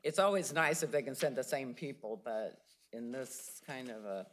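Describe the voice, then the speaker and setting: An older woman with a loud voice on a review committee, thinking aloud and asking probing questions in a casual meeting. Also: rumbling low, moderate pace, slight background noise.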